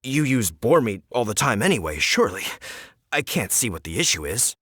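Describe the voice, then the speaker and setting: A man's voice: fairly low pitch, big pitch swings, normal speed, pushed and bright, husky, no echo, studio quality, full character voice. A man voicing a pushy, exasperated food promoter in a video game, persuasive and emphatic. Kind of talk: animated game voice acting.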